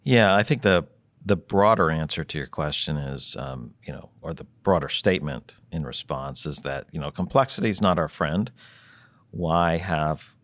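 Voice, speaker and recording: A man explaining finance as a podcast guest, measured and thinking aloud with many fillers and restarts. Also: rumbling low, expressive, a bit bright, no room sound. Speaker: treasury consultant guest.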